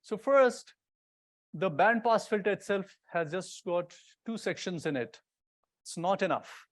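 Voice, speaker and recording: Male conference presenter, notably high voice, explanatory and informal, walking listeners through technical material. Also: animated, unhurried. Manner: explanatory, informal, pointing things out as he goes. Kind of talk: technical conference talk to an audience.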